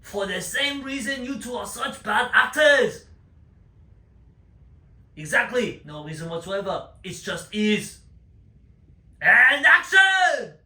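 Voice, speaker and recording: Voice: medium pitch, unhurried, pauses often, pushed and bright. An actor in a comic skit playing a bossy, melodramatic film director with a put-on French accent, scolding and commanding. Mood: imperious. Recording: roomy, echoing, distant mic.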